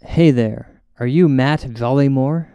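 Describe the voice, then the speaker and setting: A man hosting and narrating a spooky comedy as a paranormal investigator, mock-serious and deliberate in his storytelling. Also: medium pitch, dark tone, clean tone, no echo, muffled recording. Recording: music bed under narration. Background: music.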